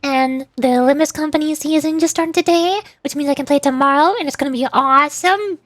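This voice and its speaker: A woman livestreaming a game with casual, excitable, playful chatter. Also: high-pitched, brisk, dry recording, studio quality. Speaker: livestreamer, VTuber. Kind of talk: casual gameplay stream chat.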